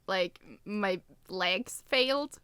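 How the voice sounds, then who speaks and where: A woman's voice: medium pitch, very slow, pushed and bright, clear, resonant tone, no room sound. A woman co-hosting a podcast, talking in casual, informal conversation. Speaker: podcast co-host and co-writer.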